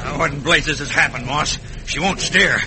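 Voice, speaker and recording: A noisy vintage radio recording of a man acting a greedy villain. His drawling voice sits high for a man, and he blusters in rough, folksy grammar with a short temper. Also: moderate pace, lightly acted. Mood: furious. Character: greedy, hot-tempered western outlaw boatman. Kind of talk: 1950s western radio drama acting.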